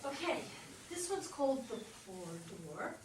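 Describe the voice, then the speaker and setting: High-voiced woman hosting in an echoey room. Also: big pitch swings, a little slow. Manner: directing, organizing. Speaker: host of a play reading. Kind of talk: informal live introduction. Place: echoey room at a live event. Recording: echoey room, distant mic, noisy.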